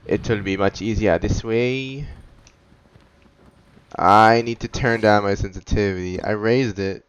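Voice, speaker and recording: A man's voice: on the low side, a little slow, clean tone, muffled recording. A man giving casual, laid-back, reactive commentary as he plays through a video game. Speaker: gaming commentator. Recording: faint noise, keyboard and mouse clicks.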